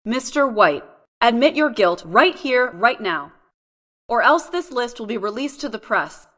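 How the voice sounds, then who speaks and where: A woman acting a steely, commanding female lawyer in a video game drama, firm and deliberate. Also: on the high side, lively intonation, fairly bright tone. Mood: threatening. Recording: long echoing reverb.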